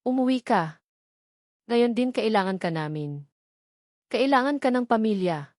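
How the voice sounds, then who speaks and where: A woman's voice: a little high, expressive, measured pace, darkish tone, dry recording. Desperate Filipino father acted by a woman, urgent orders, audio drama storybook, dry. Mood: desperate, commanding. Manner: urgent, commanding. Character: desperate, commanding wealthy father on the phone.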